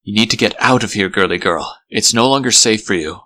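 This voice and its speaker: A man's voice: lowish voice, brisk, bright, forceful tone. A man voicing a sly, brash talking fox in a comic dub, glib and urgent. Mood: urgent, hushed warning. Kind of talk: comic-book dub acting.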